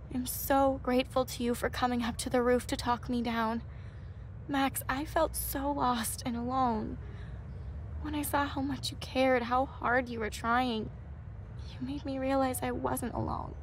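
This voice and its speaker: A woman acting a gentle, devout young student in a video game, fragile but hopeful, earnest and sincere. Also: sits high, steady tone, unhurried, slight background noise. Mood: grateful, hopeful. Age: young adult. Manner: soft-hearted, earnest, sincere.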